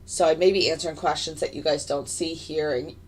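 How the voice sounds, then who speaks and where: A woman with a raspy voice and hiccups, chatting casually and rambling as she streams live trading commentary from her desk. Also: low voice, fairly even intonation, brisk, a little mellow, low-quality recording. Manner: chatty, rambling, many fillers and restarts. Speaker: futures day trader streaming live. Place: home desk in front of a computer.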